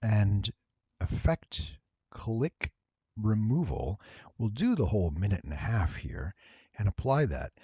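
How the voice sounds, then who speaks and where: A man with a slightly nasal voice gives a casual, playful software tutorial, explaining each step without hurry. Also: lowish voice, big pitch swings, slow, husky, dry recording, dull audio. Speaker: voice actor giving a software tutorial. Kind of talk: casual screen-recorded tutorial.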